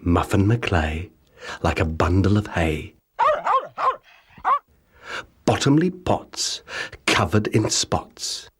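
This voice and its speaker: A man reading children's rhyming stories as an audiobook narrator, with measured, rhythmic, theatrical delivery. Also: low voice, expressive, slightly rough. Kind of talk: scripted children's storybook narration in rhyming verse.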